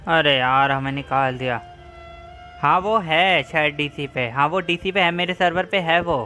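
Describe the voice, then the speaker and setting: Streamer, sex open: Indian accent, very rapid casual chatter, medium pitch, faint music behind. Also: bright, forceful tone. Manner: mixes Hindi and English. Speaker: game streamer. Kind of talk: casual live-stream chat.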